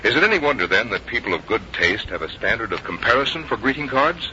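An American man working as a radio announcer, reading scripted 1950s copy in a polished, measured way. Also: mid-range voice, big pitch swings, sharp tone, slight background noise. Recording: dull vintage radio recording with music.

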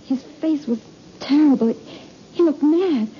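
An actress playing an anxious, haunted astronaut's wife in an old-time radio drama, speaking in a fraught, halting, dramatic way. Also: female voice, high-pitched. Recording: dull, noisy old radio recording.

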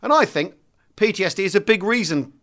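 A man co-hosting a history podcast, talking in an animated, casual way and often restarting his phrases. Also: sits high, fairly quick. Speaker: podcast co-host. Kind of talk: casual conversational history chat.

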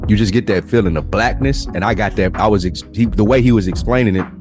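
A man co-hosting a podcast, talking candidly and with profanity in a relaxed chat, often acting out what other people say. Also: fairly low pitch, fast, music in the background. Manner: candid, animated, profane, acts out quoted voices. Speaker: podcast co-host. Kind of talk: casual conversation.

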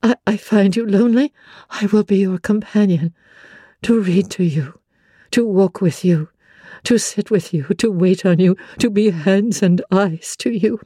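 A woman's voice: mid-range voice, unhurried, mellow, breathy edge, dry recording, clean studio sound. A woman playing a devoted, independent young woman, earnest and composed, in a classic novel reading. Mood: devoted, firm.

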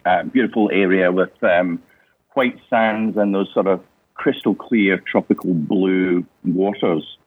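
Deep-voiced Scottish man on a phone line. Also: measured pace, no room sound. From Scotland. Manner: hesitant, many restarts and fillers. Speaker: interview guest, business owner. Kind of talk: casual interview answers. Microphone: phone call.

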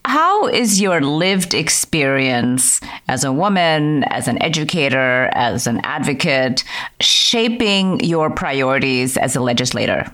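A woman's voice: very deep voice, big pitch swings, a little slow, a bit bright. A woman hosting an interview, affirming the guest's points before she asks her next question. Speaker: podcast host.

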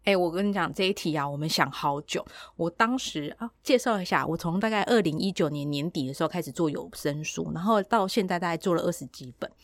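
Quick-talking Taiwanese woman, lowish voice. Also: no echo, studio quality. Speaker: podcast host and audiobook producer. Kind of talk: casual podcast chat.

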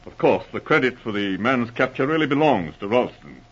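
An actor playing a blustering, pompous, indignant army colonel in a 1940s radio drama. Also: male voice, medium pitch, big pitch swings, a little fast, fairly bright tone, breathy edge. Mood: hearty, smug. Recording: muffled old radio recording.

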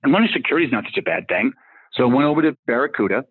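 Fast-talking man with a Massachusetts accent. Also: medium pitch, very animated, husky. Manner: rambling, acts out dialogue in anecdotes. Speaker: tech executive guest. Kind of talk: casual career storytelling. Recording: phone-like narrow line.

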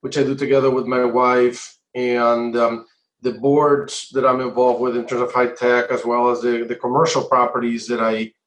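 A middle-aged man from Colombia giving measured, halting answers in an interview, pausing often as he explains. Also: mid-range voice. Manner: halting, measured, frequent hesitations. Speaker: interview guest, entrepreneur. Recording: echoey, roomy sound.

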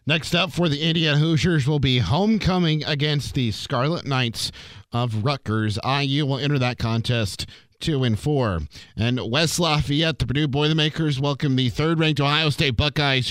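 A man anchoring a scripted radio sports update, reading scores and stats in an energetic announcer style. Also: medium pitch, no room sound.

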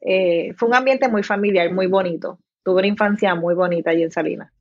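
A woman's voice: lowish voice, fairly quick, a little mellow, no echo, dull audio. A woman from southern Puerto Rico with a Puerto Rican accent, a writer talking as a podcast guest in a friendly, talkative way. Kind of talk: interview conversation.

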